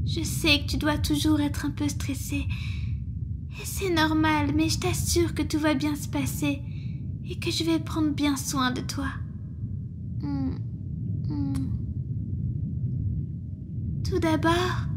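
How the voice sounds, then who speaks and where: A woman performs a protective water monster girl nurse. The voice sits high and moves slowly, always soothing and attentive. Clear background noise and ambience surround it. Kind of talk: ASMR roleplay.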